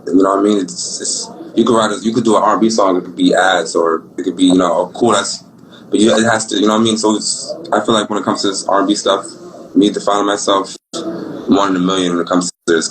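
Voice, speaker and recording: A young man with a Brooklyn accent, an R&B singer talking about his life in a relaxed, rambling, slangy way. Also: low voice, fast, a crowd in the background, poor audio. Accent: Brooklyn New York. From Brooklyn, New York. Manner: laid-back, rambling, slangy. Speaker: singer guest. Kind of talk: casual interview talk.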